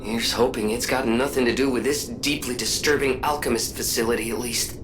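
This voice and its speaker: A woman's voice: very deep voice, steady tone, a little fast, background noise. A woman playing an earnest, worried and compassionate companion in dramatic video game dialogue. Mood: uneasy, wondering. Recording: loud music behind the voice.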